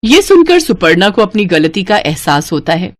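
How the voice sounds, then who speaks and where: A woman's voice: mid-range voice, very animated, moderate pace, no echo. Woman narrating a story, dry close sound.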